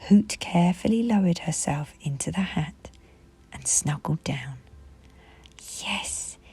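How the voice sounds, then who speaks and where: Female storybook reader, low-pitched and very breathy, gentle bedtime read-aloud, music underneath. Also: expressive, moderate pace. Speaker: children's book reader. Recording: music behind the voice.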